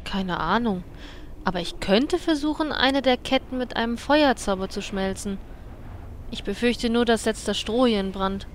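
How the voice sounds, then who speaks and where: A woman's voice: on the high side, moderate pace, a little hiss or hum, close to an everyday voice. An actress playing a sensible but vain fire mage woman in a comedic fantasy radio play. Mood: matter-of-fact.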